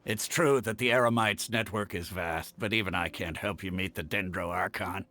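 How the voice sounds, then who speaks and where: An actor playing a frank, hearty older retired mercenary advisor in a video game, plain-spoken. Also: male voice, sits low, moderate pace, pushed and bright, faint music behind. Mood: welcoming, hearty.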